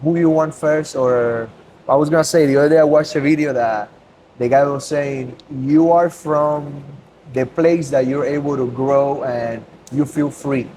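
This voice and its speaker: A man's voice: a little high, steady tone, unhurried, dark tone. Man, earnest and loose. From Cuba. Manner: earnest, loose, switches into Spanish. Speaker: guest, business owner. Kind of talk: casual podcast conversation. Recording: noisy ambience.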